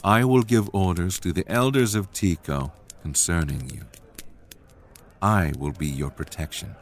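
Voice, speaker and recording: A man voicing a wise, stern old king in a storybook retelling, speaking slowly and deliberately. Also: low-pitched. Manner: slow and deliberate.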